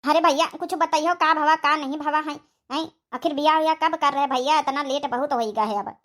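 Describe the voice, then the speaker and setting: Woman as high-pitched nagging Awadhi village mother. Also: steady tone, fairly quick, a bit bright. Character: ageing village mother, concerned, impatient for son's wedding. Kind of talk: amateur cartoon story dialogue.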